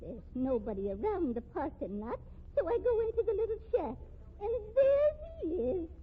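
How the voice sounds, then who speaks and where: A woman's voice: very high voice, very animated, moderate pace. An actress in a 1950s radio mystery playing a feeble-minded flower-selling woman, gleeful and simple, with uneducated speech. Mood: eerily pleased. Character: feeble-minded, eccentric flower-seller woman boasting of curses. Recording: tinny band-limited old radio recording.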